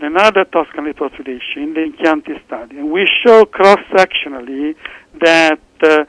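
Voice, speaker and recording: A man's voice: fairly high pitch, dry recording, rough recording. A man from Italy with an Italian accent, a researcher answering interview questions with frequent restarts and fillers. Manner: halting, many restarts and fillers. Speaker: researcher guest.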